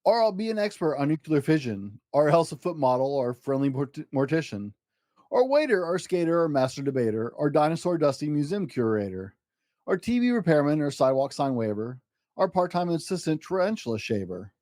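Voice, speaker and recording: Man playing an excitable, boastful eight-year-old schoolboy. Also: a little high, brisk, no room sound, studio quality. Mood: insistent, excited. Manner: excitable, grandiose, theatrical. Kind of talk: animated rhyming picture-book storytelling.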